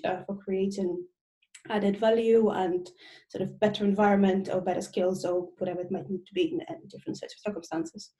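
Articulate woman from Russia, mellow tone. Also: mid-range voice, poor audio. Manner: fluent and articulate, with frequent "um" fillers. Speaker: guest, procurement and sustainability consultant. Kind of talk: podcast interview answers.

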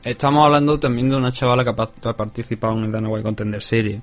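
A male podcast host with a Spanish accent, talking in a loose, chatty way. He rambles, restarts his sentences and keeps circling back to his point. His voice sits at an ordinary, average pitch. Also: level tone, rapid-fire, faint music behind. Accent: Spanish from Spain. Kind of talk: casual chat. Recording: remote call.

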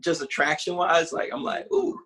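A man's voice: on the high side, wildly swooping pitch, moderate pace, sharp tone. A man in his late twenties chatting casually as a podcast co-host, talking things through in a reflective way and searching for his words. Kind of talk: casual conversation.

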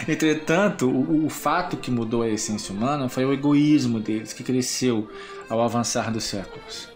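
A mocking, world-weary demon acted by a man holds forth in an audio drama. The voice sits at a medium pitch, full of sardonic disdain for humans. Music plays softly underneath. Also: a little slow, close to an everyday voice. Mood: cynical. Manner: sardonic, speechifying, chuckling.

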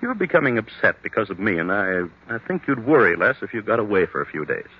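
A man's voice: on the low side, big pitch swings, fast, husky. A male actor playing a confused, forgetful, apologetic husband in an old-time radio mystery, speaking in a hesitant, faltering way. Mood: weary, apologetic. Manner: hesitant, faltering, repeats himself. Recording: tinny, muffled old radio broadcast.

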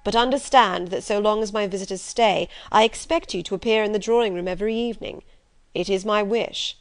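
Brusque gentleman of the manor, voiced by a woman reader, commanding and probing. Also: medium pitch, a little fast, dry recording, close to an everyday voice. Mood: insistent. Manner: insistent, domineering.

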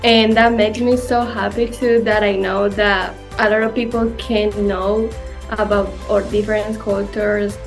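A woman's voice: mid-range voice, level tone, measured pace, faint music behind. A teenage female student from Colombia with a Colombian accent and Spanish as native language, giving casual interview answers. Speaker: student guest.

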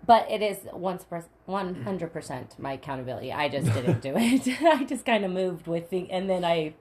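Woman with a lowish voice, laid-back podcast co-host, self-deprecating rambler. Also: animated, normal speed, darkish tone, slight background noise. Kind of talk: casual podcast chat.

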